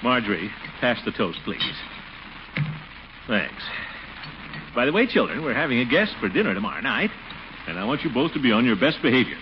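An actor playing a pompous middle-aged uncle and bumbling suitor in a 1940s radio comedy, blustery and chuckling. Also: male voice, mid-range voice, very animated, a little fast, long pauses, background noise, lightly acted. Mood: self-satisfied. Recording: dull, lo-fi 1940s radio recording.

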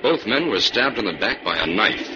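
An actor playing a calm, assured crime-fighting scientist in an old-time radio drama. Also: male voice, lowish voice, moderate pace. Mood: calm, explanatory. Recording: muffled, noisy old radio recording.

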